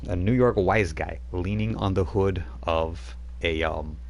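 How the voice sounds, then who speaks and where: Deep-voiced game master, rambling. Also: male voice, a little slow, creaky, a little mellow. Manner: loose, rambling scene-setting with casual asides. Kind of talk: narrating a tabletop game.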